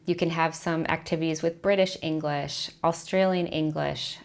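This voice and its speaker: Woman explaining in a lowish voice. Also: steady tone, moderate pace. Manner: clear, explanatory, list-like delivery. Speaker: online teaching coach. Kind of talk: scripted advice video to camera.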